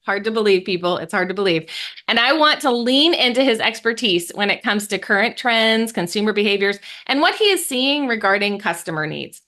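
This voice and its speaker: A woman's voice: medium pitch, animated, a little fast, bright, forceful tone. A woman hosting a podcast interview, upbeat and chatty, laughing easily. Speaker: podcast host.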